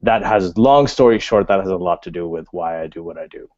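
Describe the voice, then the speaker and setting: A man's voice: sits low, a little fast, a little mellow, no room sound, muffled recording. A man in his thirties from Pakistan, a blogger and podcast guest, recounting his background in loose conversational speech. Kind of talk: casual podcast interview.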